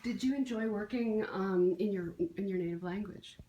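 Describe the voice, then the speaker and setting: A woman interviewing an actress, asking questions in a wry, halting way. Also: mid-range voice, a crowd in the background, poor audio.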